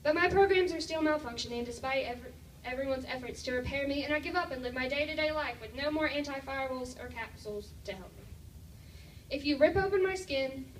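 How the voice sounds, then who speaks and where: A teenage girl performs spoken-word poetry with a high voice and a deliberate, theatrical delivery. She is heard from some distance in a very echoey room, with faint noise underneath. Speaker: slam poet.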